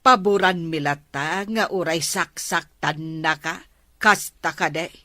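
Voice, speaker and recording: An actress plays a worried Filipina mother in an audio drama, disapproving of her grown daughter. She sounds weary and reproachful, lower in her register, in a dry, close recording. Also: takes it slowly, bright, forceful tone, dry recording, full character voice. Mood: hurt, reproachful. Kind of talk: emotive audio drama acting.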